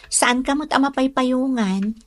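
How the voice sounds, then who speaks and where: A woman's voice: high-pitched, unhurried, no echo. An actress playing a young office woman who is weary of her devoted boyfriend, in an Ilocano radio drama. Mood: casual.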